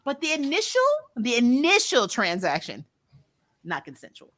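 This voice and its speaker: A woman's voice: fairly high pitch, wildly swooping pitch, normal speed, a bit bright. A woman co-hosting a casual review livestream, chatting and reading out comments in a teasing way, often laughing. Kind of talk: casual chat, reading out live viewer comments.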